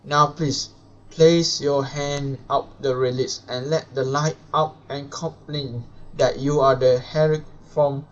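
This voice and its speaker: A man who is a non-native English speaker, live streaming a game and reading its dialogue aloud in a halting, stumbling way. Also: mid-range voice, steady tone. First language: not English. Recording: dull lo-fi mic with music behind.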